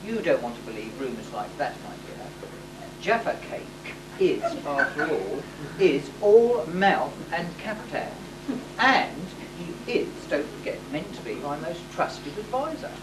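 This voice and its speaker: A woman's voice: low-pitched, expressive, measured pace. Woman acting a blustering sheikh father, distant and echoey. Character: pompous sheikh father of a princess, middle-aged man. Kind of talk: amateur pantomime stage acting. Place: hall stage, distant microphone. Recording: echoey hall from far off, noisy amateur tape.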